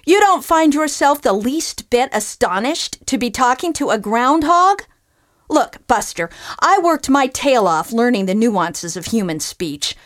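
A sharp-tongued enchanted groundhog scolds with sarcastic, no-nonsense bluntness, as a woman reading the tale puts her on. The voice sits higher and louder than the narration. The sound is dry and close. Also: no echo, natural voice.

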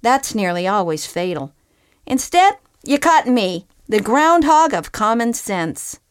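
A woman voicing a sharp-tongued female enchanted groundhog who talks with blunt, sarcastic scolding in a storybook reading. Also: on the high side, very animated, slow, clear, resonant tone, no echo, clean studio sound, voice pushed up. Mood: wry.

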